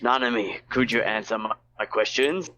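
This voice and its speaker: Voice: mid-range voice, moderate pace, bright, forceful tone, slight background noise, lightly acted. A person voicing an earnest young man calling to his childhood friend in an amateur visual novel read-aloud, on a dull lo-fi recording. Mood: concerned.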